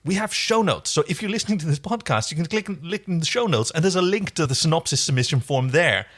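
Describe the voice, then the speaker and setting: A man's voice: on the high side, lively intonation, quick pace, fairly bright tone. A man co-hosting a podcast in casual, unscripted chat, with jokey, wry asides. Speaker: podcast co-host.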